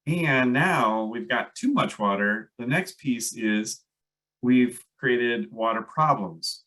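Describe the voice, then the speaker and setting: A man's voice: medium pitch, slow pace, pushed and bright, recorded from a distance, rough recording. A man with a Minnesota accent giving a workshop talk over a video call, loose and hesitant with many fillers. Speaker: landscape ecologist presenter. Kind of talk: online workshop presentation.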